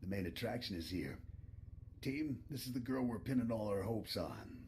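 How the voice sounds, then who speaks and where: A man's voice: lowish voice, very animated, moderate pace, a little mellow, a little hiss or hum. An actor playing a seasoned, confident male leader of a bounty hunting crew in a video game, easygoing and assured. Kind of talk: scripted video game cutscene dialogue.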